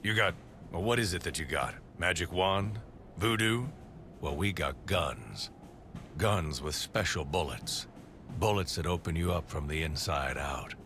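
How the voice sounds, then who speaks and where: Very deep-voiced cynical American soldier. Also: male voice, unhurried, music in the background. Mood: sardonic, menacing. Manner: blunt, sardonic, drill-sergeant swagger. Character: gruff, cynical, foul-mouthed male American military officer. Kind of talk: video game cutscene acting.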